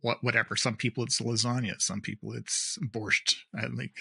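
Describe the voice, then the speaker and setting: A man's voice: medium pitch, level tone, moderate pace, gravelly, sharp tone, breathy edge. A man hosting a podcast, chatting casually and asking playful, lighthearted questions. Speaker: podcast host. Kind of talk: casual conversation.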